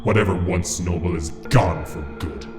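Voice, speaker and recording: An actor playing a stern, imperious imp elder in a radio play, speaking in a pompous, archaic, declamatory way. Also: male voice, low-pitched, normal speed, natural voice. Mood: furious, cursing. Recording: music and insect chirps behind voice.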